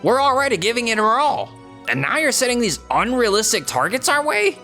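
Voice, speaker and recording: A man's voice: extremely high pitch, sing-song, a little fast, sharp tone, soft background music, full character voice. A man voicing a frustrated, outspoken and insecure young salesman in an English manga dub, emotional and defensive. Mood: indignant protest.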